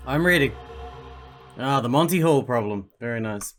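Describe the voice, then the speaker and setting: A man's voice: mid-range voice, expressive, normal speed. A man giving casual gameplay commentary, thinking aloud and swearing freely. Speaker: gaming streamer. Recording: game music faintly behind.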